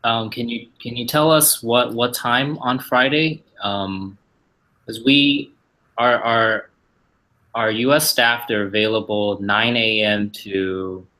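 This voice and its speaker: A man on company staff answering viewer questions in a casual livestream from the office, halting and full of fillers and restarts. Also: medium pitch, bright, forceful tone. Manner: halting, many fillers and repeated starts. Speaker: company staff member hosting a Q&A.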